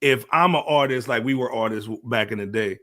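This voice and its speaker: American man, medium-pitched, casual podcast talker. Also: fairly quick, fairly bright tone. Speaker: podcast panelist. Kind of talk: casual panel chat. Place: at home, remote broadcast.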